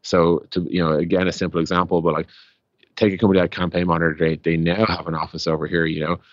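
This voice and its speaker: A very low-pitched man with an Irish accent talks in a muffled, echoey recording. He is an interview guest explaining business in a conversational, rambling way, dropping in "like" and "you know" as he goes. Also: level tone, fast. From Ireland. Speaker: interview guest, tech startup co-founder.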